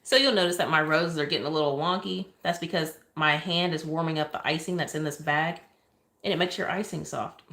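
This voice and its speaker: Southern woman, soft calm lower voice, chatty livestream cake decorator at a kitchen counter. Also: brisk. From Oklahoma, USA. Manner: chatty, thanks viewers often, asides while working. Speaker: cake decorator live-streaming. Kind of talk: casual livestream chat while piping icing.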